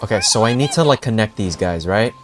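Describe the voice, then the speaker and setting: A man's voice: fairly low pitch, expressive, fairly quick. A young man giving casual, slangy live commentary as he reacts to a video game. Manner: informal, slangy. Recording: game music faint behind.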